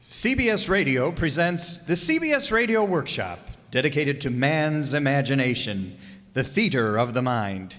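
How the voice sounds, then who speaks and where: A man's voice: medium pitch, big pitch swings, normal speed, a bit bright. A man playing a formal, theatrical announcer in an old-time radio style. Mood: grand, formal. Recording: echoey hall.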